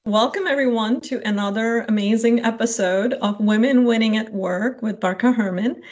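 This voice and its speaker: A woman's voice: fairly high pitch, normal speed, a little mellow. A woman hosting an informal podcast interview, chatty and enthusiastic as she talks.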